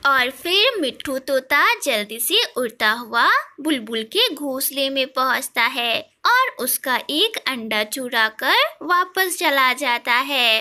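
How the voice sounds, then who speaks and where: A woman's voice: high-pitched, pushed and bright. A woman narrating a scripted children's story.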